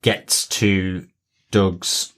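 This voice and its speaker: A man with a fairly low voice talks as a podcast co-host in a casual review. He phrases things haltingly, often doubling back on words as he explains. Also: flat delivery, a bit bright, clean tone. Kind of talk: casual TV episode review chat.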